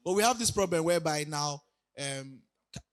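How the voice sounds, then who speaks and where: A young man speaks at a conference, his voice raspy as he recovers from illness. He sits a little higher than most men and stops often to hesitate or restart a thought. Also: expressive, normal speed. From Nigeria. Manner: hesitant, many ums and restarts, laughs lightly. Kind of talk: casual conference talk. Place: conference hall.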